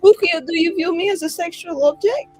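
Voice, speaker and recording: A woman's voice: very high-pitched, fairly quick, darkish tone. A woman roleplaying a blunt, guileless, self-assured young woman adventurer in a tabletop fantasy game.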